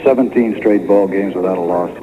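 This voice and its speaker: A man's voice: sits low, brisk. Tinny-sounding male radio sports interviewer. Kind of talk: post-game interview. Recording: tinny radio line with crowd noise. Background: crowd noise.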